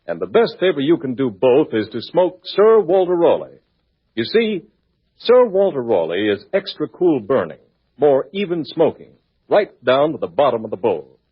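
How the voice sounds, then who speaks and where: Male vintage radio pitchman, highish swooping delivery, scripted ad read, thin phone-like old recording. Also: husky. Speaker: commercial pitchman. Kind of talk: 1940s radio advertisement, scripted. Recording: tinny narrow-band vintage radio recording.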